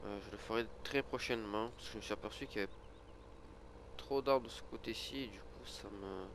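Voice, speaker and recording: Guy with a cold rambling over a farm game, low gravelly voice, noisy background. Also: a little fast. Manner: rambling, hesitant, many fillers. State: has a cold, sniffling. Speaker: gaming video creator. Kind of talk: casual gameplay commentary.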